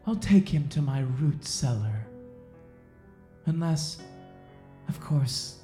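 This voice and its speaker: A woman plays a cold, self-righteous Puritan sheriff in an audio drama, a man who preys on the weak. The voice is low and soft-edged, brooding through long pauses. It sounds in a roomy echo with music underneath. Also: lively intonation. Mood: sly, threatening. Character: menacing, self-righteous male Puritan sheriff, coldly predatory. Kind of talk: audio drama acting, slow dramatic monologue.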